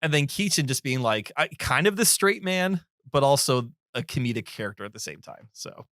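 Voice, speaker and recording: A man's voice: mid-range voice, animated, brisk, a bit bright, no room sound, studio quality. A man co-hosting a film podcast, giving his opinions in a casual, conversational way.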